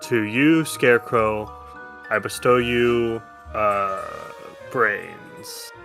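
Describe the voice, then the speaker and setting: Man putting on a low, grudging sham wizard. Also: soft background music, full character voice. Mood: grudging, sullen. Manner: flat, reluctant, halting. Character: grudging, bitter fake wizard handing out gifts.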